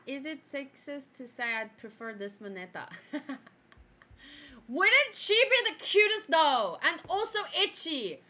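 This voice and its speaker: A young woman whose first language is Afrikaans talks through an anime review in a chatty, giggly way. Her voice sits high and sounds bright and sharp. She bursts out loudly, then drops into quiet muttering to herself. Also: very animated, slow pace. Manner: chatty, giggly, jumps between loud outbursts and mumbling to herself. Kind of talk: casual review chat reacting to her own old video.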